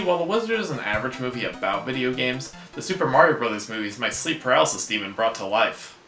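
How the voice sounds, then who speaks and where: A man narrating a scripted comedic video review in a sarcastic, exasperated way. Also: medium pitch. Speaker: video essay host. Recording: background music under voice. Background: music.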